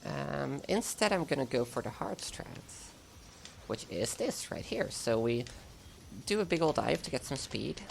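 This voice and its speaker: A woman's voice: rumbling low, very animated, measured pace, gravelly, a little hiss or hum. A German woman with an American-sounding English accent, chatting casually and laughing often while commentating a speedrun of her game live.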